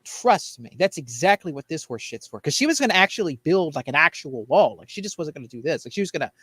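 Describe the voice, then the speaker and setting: American guy ranting politics, blunt and exasperated, slightly high voice, dry mic. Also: sing-song, fast talker, a bit bright, husky, no echo. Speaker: show panelist. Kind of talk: opinionated political chat.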